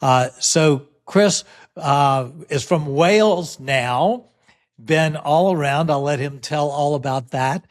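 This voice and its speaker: A male podcast host leads a relaxed interview. He talks slowly and leaves plenty of pauses and "uh" fillers. His voice sits fairly high for a man. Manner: unhurried, friendly, frequent "uh" fillers.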